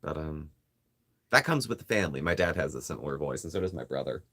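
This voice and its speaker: Low gravelly man chatting casually. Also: quick pace. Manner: relaxed, chatty, reads out viewer names. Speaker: co-host of a live stream. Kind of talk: casual live-stream chat. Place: living room, on a love seat. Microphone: phone.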